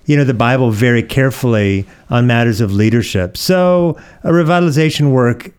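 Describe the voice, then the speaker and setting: A middle-aged American man from Oregon, a pastor being interviewed, explaining things conversationally with thoughtful, measured pauses. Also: medium pitch, a little mellow, clear, resonant tone. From Oregon, United States.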